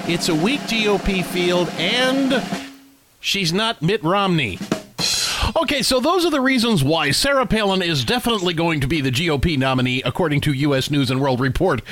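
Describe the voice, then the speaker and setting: A man co-hosting a casual political banter podcast, sarcastic with a deadpan, mock-newsy delivery. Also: high-pitched, normal speed, slight background noise. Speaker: co-host.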